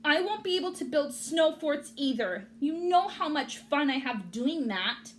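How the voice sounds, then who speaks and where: A woman's voice: high-pitched, very animated, measured pace, slight background noise, voice pushed up. A woman voicing a grumbling, pessimistic rabbit in a children's storybook, with emphatic complaints. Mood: complaining. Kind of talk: children's storybook read-aloud.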